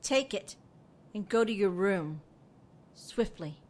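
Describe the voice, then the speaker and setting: A woman playing an authoritative pony mare who rules an underground shelter, speaking deliberately in an audio drama. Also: lively intonation, a little mellow. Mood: low, stern. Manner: booming, authoritative, deliberate delivery.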